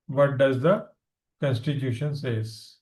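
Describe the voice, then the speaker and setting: A man's voice: mid-range voice, takes it slowly, a little mellow, echoey room. A man with an Indian accent whose first language is Punjabi, teaching an online class, explaining points and quizzing students, switching between Hindi and English.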